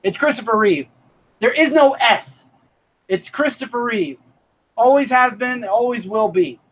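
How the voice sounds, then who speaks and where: A man's voice: extremely high pitch, animated, a little slow, slight background noise, poor audio. A man in his thirties hosting a casual comic review live stream, upbeat and thanking viewers by name. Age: 30s.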